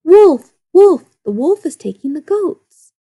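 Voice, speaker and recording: A woman's voice: very high-pitched, very animated, takes it slowly, narrow, tinny audio, voice pushed up, heavily acted. A woman acts out a young shepherd boy from a storybook. He is cheeky and teasing, a little trickster with a playful way of talking. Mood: shouting false alarm. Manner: teasing, calling out. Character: mischievous young shepherd boy crying wolf for fun. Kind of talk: storybook read-aloud.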